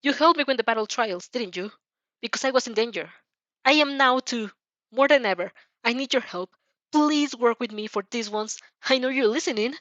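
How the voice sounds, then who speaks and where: A woman's voice: a little high, moderate pace, a bit bright, dry recording, dull audio, voice pushed up, natural voice. Woman acting a desperate, pleading young heroine. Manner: urgent, pleading.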